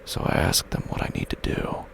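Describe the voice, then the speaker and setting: An actor playing a grieving, haunted widower who is slowly unraveling, in a halting, brooding horror audio drama monologue with a gravelly, breathy voice. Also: male voice, a little hiss or hum, lightly acted. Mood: haunted, resolute. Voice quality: gravelly, breathy, often dropping to a whisper. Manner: halting, brooding, with long pauses.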